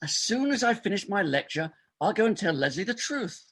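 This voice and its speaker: A bright, forceful and high voice from a male actor for a desperate farce doctor. He is raspy and insistent, forever cajoling his colleague. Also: sing-song, brisk, heavily acted. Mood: coaxing. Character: desperate, fast-talking married doctor wheedling a colleague. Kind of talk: stage farce readers theater acting.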